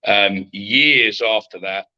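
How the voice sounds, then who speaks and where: A man who manages boxers, speaking as a guest in a measured, hesitant way with many fillers. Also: fairly low pitch, bright, forceful tone, highs cut off.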